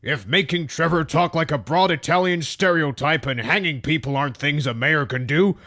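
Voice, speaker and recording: A man's voice: fairly high pitch, normal speed, pushed and bright. A man playing a dim, blustering small-town mayor, folksy and declamatory, in a comic dub. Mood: grandstanding.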